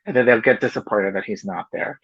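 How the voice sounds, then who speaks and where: A man's voice: fairly low pitch, fairly quick, husky, no echo, muffled recording. A man co-hosting a podcast, talking loosely and chattily, full of "you know" and "like". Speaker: podcast co-host. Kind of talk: casual chat.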